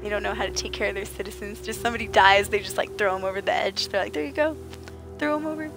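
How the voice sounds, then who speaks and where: A woman streaming a game, slightly raspy and under the weather, chatting playfully with viewers and joking in mock outrage. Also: mid-range voice, fast talker, music in the background. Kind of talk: casual gaming chat with viewers.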